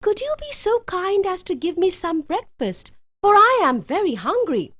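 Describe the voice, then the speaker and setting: A woman's voice: high-pitched, huge pitch swings, normal speed, full character voice. A woman voicing a polite, eager young boy in a storybook tale, speaking earnestly. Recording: music behind the voice.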